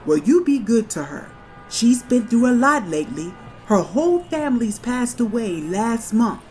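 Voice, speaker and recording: A woman's voice: fairly high pitch, sing-song, moderate pace, a little mellow, faint music behind. A woman playing a blunt, folksy, protective middle-aged shopkeeper in a comic dub. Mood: concerned.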